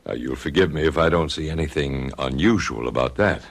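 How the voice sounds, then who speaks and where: A deep-voiced calm psychiatrist. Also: male voice. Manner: brief, measured prompting questions. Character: calm male psychiatrist, patient and probing.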